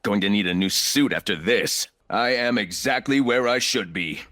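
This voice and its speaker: An actor playing a ruthless, arrogant corporate executive turned combat operator in a video game, speaking in clipped, commanding, coolly condescending lines. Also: male voice, fairly low pitch, moderate pace, sharp tone. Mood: cold, commanding. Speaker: playable military shooter operator.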